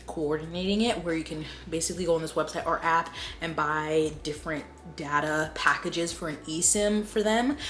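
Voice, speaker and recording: Echoey, low-voiced American woman chatting casually. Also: fairly bright tone. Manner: informal, conversational, opinionated. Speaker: book vlogger and host. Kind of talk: casual chat to viewers. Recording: echoey room with faint background noise.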